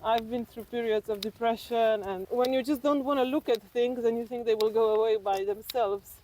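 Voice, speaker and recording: Female, middle-aged. Informal interview guest, talking on foot outdoors with clear background noise. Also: fairly high pitch, fairly even intonation, normal speed, dark tone, rough recording. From Slovenia. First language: Slovenian. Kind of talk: casual reflective chat while walking. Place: outdoors on a walking trail.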